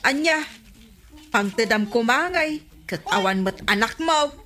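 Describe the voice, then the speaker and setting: A woman's voice: fairly high pitch, animated, unhurried, sharp tone. An actress with an Ilocano accent playing a practical village mother and councilor in a comedy radio drama. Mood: questioning. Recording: music bed behind the voice.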